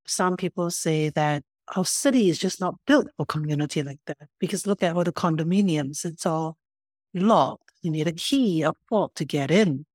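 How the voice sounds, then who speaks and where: Brief: woman podcast host from Singapore, lowish pitch, curious questioner, dry sound. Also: normal speed, darkish tone, no room sound. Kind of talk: casual conversation.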